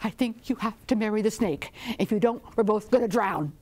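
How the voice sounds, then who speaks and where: A woman's voice: mid-range voice, fairly quick, a little mellow, close to an everyday voice. A woman voicing a practical, resigned village mother in a folktale, matter-of-fact as she urges her daughter. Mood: urgent. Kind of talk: animated oral folktale telling.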